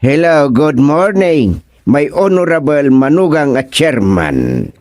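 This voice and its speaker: Male actor as flustered older councilman, Ilocano accent, unhurried speech, muttered exclamations, radio comedy, dry close sound. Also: a little high, very animated, a little mellow, no room sound. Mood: cheerful.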